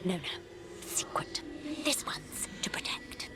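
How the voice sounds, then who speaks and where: A woman voicing the reluctant, secretive spirit of a murdered corpse in a video game, speaking in halting whispers. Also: close to an everyday voice. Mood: guarded. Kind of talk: dramatic game acting. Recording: game music behind.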